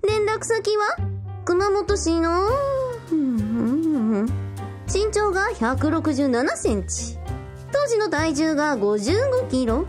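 Very high, girlish quirky little sister, a woman acting. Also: very animated, takes it slowly, faint music behind, full character voice. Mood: musing, excited. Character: quirky young woman, pompous yet flustered younger sister. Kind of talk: radio drama acting.

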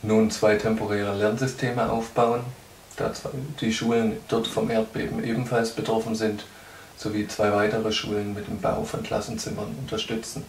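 Low-voiced man in an echoey room. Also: monotone, normal speed, gravelly, a little hiss or hum. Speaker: charity spokesman. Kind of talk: prepared news update to camera. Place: small indoor room. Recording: echoey room, distant microphone.

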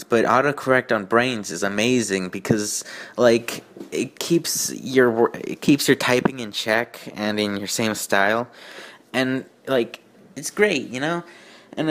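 A man's voice: medium pitch, fairly bright tone. A man hosting a reading show with sarcastic, rambling commentary in an exasperated, self-deprecating manner. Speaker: host of a bad fan fiction reading show.

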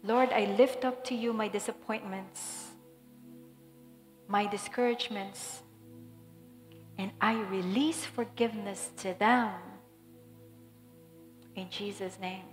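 A woman's voice: medium pitch, fairly even intonation, takes it slowly, pauses often. A woman pastor in her thirties, from the Philippines, leading a fervent prayer from a church stage. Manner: fervent, declaring prayer then softer guiding. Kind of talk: sermon prayer. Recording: echoey hall with soft music.

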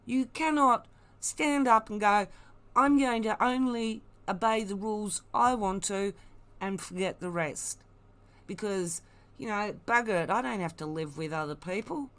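A woman's voice: medium pitch, measured pace, a bit bright. A woman giving blunt, emphatic, mocking commentary, unscripted and opinionated. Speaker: online commentator.

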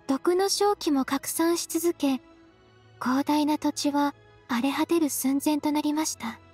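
Game role: gentle, dutiful young female secretary, very high voice, dry recording, courteous formal delivery. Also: no echo. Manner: soft-spoken, formal, courteous. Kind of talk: Japanese game voice acting. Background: music.

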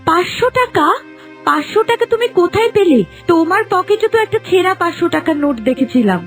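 Sharp-tongued village wife for a cartoon: very high voice, nagging, faint music. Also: female voice, lively intonation, fast talker. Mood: suspicious. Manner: scolding, questioning.

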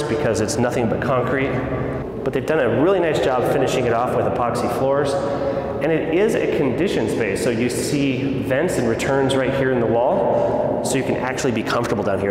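A man's voice: mid-range voice, noisy background. A man in his thirties giving an upbeat walkthrough tour of a large empty house as a real estate agent, pointing out features as he walks. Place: empty large house, echoey tiled rooms and basement. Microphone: handheld camera, small clip-on mic. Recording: roomy echo from bare rooms.

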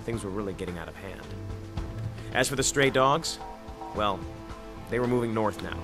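A man's voice: fairly low pitch, animated, normal speed. A man voicing a war-weary journalist who narrates a war game's story in a grave tone, over music. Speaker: game narrator and soldier. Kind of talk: game cutscene voice acting. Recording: game audio with music behind.

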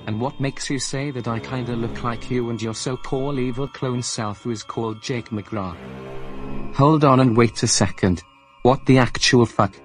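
A man's voice: mid-range voice, close to an everyday voice. A man playing a foul-mouthed evil villain. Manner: rude, cursing, taunting. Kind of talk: amateur voice drama. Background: music.

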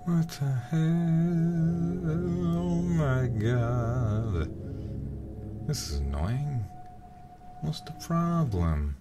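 A man streaming a horror game in a soft, intimate ASMR style close to the microphone, muttering and sometimes whispering. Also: a little high, animated, drawn-out words, long pauses, music in the background. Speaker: livestreamer playing a horror game.

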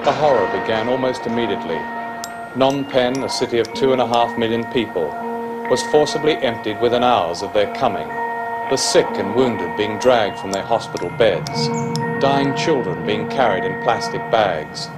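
A man giving scripted documentary narration in a grave, even manner, from an old archive film. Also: on the low side, normal speed. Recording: distant archive film narration with loud music.

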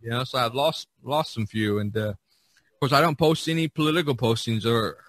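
A man hosts a casual podcast with a bright, forward voice and a very dry, close sound. He rambles in a folksy way, repeating himself as he reads out figures. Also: medium pitch, moderate pace, no echo. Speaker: podcast host. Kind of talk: casual chat, reading out figures.

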